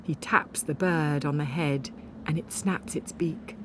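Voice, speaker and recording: A woman's voice: deep voice, steady tone, a little slow, a little hiss or hum. A woman narrating a literary first-person story as a scripted audiobook reading.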